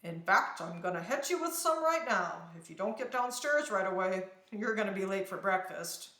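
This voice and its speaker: A woman's voice: medium pitch, expressive, moderate pace, sharp tone. A woman voicing a casual, teasing male spirit fox who gives offhand advice in a storybook. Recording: roomy indoor echo.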